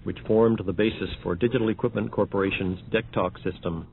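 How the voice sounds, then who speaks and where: A man reading scripted announcements for an audio demonstration in a measured, matter-of-fact way. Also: fairly low pitch, fairly even intonation, gravelly, slight background noise. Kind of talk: scripted announcing of numbered examples. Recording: muffled, phone-like archival recording.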